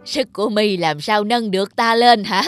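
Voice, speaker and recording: A man's voice: high-pitched, moderate pace, a bit bright, faint music behind. A man voicing a protective older uncle-like cooking pot in a cartoon, firm and avuncular. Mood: stern. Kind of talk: cartoon character acting.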